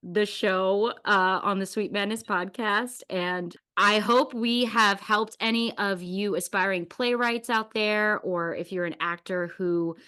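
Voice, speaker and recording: A woman's voice: medium pitch, fairly even intonation, measured pace, few pauses, sharp tone, clean tone. A woman hosting a podcast, chatting casually in an effusive, informal way. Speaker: podcast host.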